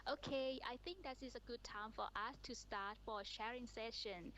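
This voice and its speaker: A woman with a somewhat high voice moderates a conference session, introducing speakers one by one. Her speech is halting and full of fillers. A faint hum sits behind her voice. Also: fairly bright tone, poor audio. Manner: halting, many fillers, reads titles.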